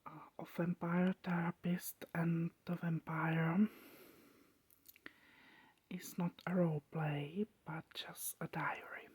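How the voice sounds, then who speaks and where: Female ASMR reader: breathy, whispered, halting, unhurried, slightly low, intimate dry sound. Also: flat delivery, no echo. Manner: soft, slow, hushed, halting delivery. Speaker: ASMR creator.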